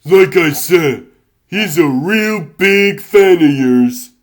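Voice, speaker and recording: A gangster henchman from a cartoon comic dub voiced by a man. He is blunt and threatening in streetwise slang, with a slightly high pitch and an unhurried delivery. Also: expressive. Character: slangy gangster henchman pushing a kid around.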